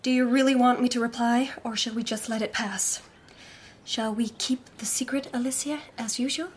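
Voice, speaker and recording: A woman's voice: fairly high pitch, fairly even intonation, normal speed, slightly rough. An actress playing a defiant, bitter young society lady, haughty and formal in a period detective radio drama.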